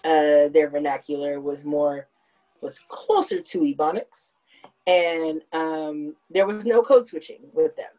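A woman's voice: sits low, fairly even intonation, a little slow, mellow. A woman in her thirties hosting a podcast, talking things through in a reflective, conversational way. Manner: reflective, explains at length. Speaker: podcast host. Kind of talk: casual conversational chat.

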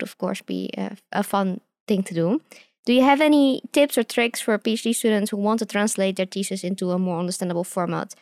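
A woman's voice: mid-range voice, darkish tone, clear, resonant tone, no room sound, clean studio sound. A woman hosting a science podcast, asking a guest questions in a chatty, upbeat way. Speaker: podcast host. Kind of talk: interview and sponsor read. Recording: music playing behind.

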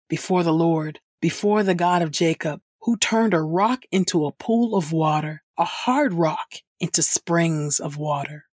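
A woman host reading Scripture aloud in a scripted devotional style, with measured, clearly phrased delivery. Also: fairly low pitch, darkish tone, no echo, studio quality. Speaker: podcast host and Scripture reader.

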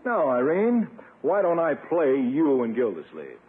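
An actor playing a smug, flirtatious athletic doctor in a 1950s radio sitcom, breezy, self-assured and condescending. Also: male voice, fairly high pitch, wildly swooping pitch, unhurried. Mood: confident. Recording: tinny, faintly noisy 1950s radio recording.

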